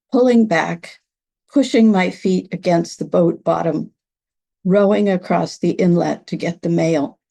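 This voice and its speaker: An older woman reads literary prose slowly and deliberately. Her voice is fairly low and mellow, with a clear creak in it. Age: over 60. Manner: measured, deliberate phrasing. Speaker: writer reading own work. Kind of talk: literary reading of a prose memoir.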